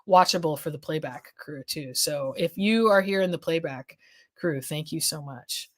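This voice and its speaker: A woman with a slightly low voice hosts a casual legal news livestream. She rambles and restarts her sentences while she reads viewer comments. Her voice is getting hoarse as the stream goes on. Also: normal speed. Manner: rambling, many restarts and fillers, thinking aloud. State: losing her voice. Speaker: legal affairs journalist hosting a livestream.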